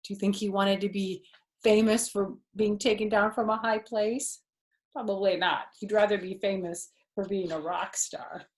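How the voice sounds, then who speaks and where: A woman's voice: mid-range voice, fairly even intonation, moderate pace. An older woman reading a children's storybook aloud expressively, with explanatory asides.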